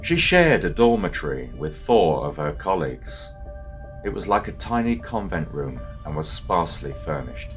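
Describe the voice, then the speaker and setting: A man narrating a dramatic scripted story in a measured, portentous manner. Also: low voice, very animated. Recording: voice over music.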